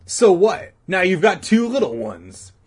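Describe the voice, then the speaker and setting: A man's voice: high voice, very animated, measured pace, slightly rough, pitched above the performer's own voice, full character voice. A man voicing an impatient schoolboy from a storybook, complaining and insistent. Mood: grumbling. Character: impatient, grumbling schoolboy, the worm collector's hungry friend.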